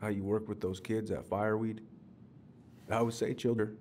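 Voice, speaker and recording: A man's voice: on the low side, flat delivery, moderate pace, a little mellow, a little hiss or hum, natural voice. An actor playing a genial, folksy middle-aged uncle who is a policeman, in a naturalistic video game drama. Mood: jovial. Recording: faint background ambience.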